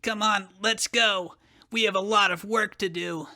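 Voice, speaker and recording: Man acting out a sharp-voiced, bitter vengeful young man. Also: high-pitched, fairly even intonation, unhurried, dry recording, clean studio sound, full character voice. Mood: resolute. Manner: scornful, snarling, dramatic. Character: angry, vengeful, sarcastic young man, betrayed son. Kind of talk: fan fiction dramatic reading.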